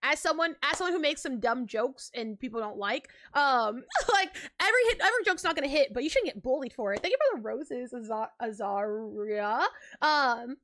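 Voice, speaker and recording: A woman's voice: sits high, animated, normal speed, fairly bright tone. A young woman streaming live, chatting casually and rambling with lots of filler words and frequent laughs.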